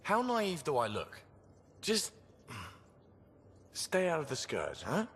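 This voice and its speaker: A wry male engineer in a video game. He teases informally with a very animated pitch, in an echoing space with faint ambience. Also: takes it slowly, husky, full character voice. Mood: teasing. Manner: informal, teasing. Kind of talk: video game cutscene dialogue. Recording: echoey space, background ambience noise.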